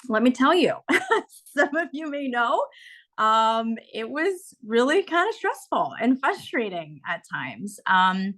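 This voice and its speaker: A woman's voice: a little high, very animated, slow pace. A woman in her forties from California, sharing her experience of a course in a casual, candid way, with frequent laughs and fillers. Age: 40s. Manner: warm, candid, laughs often, many fillers. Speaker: course member sharing a testimonial. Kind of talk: casual testimonial on a video call.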